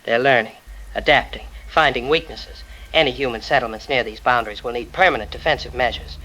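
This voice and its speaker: Guy acting as serious scientist doing a sober briefing, bright forceful voice, medium pitch, very precise. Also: brisk, low-quality recording. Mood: clinical, warning. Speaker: scientist, expert guest. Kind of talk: scripted expert briefing in an audio drama.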